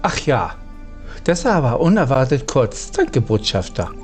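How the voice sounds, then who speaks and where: A man's voice: medium pitch, big pitch swings, normal speed, soft background music. A man doing let's play commentary, reading out game dialogue and chatting as he plays. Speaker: gaming streamer playing and reading dialogue.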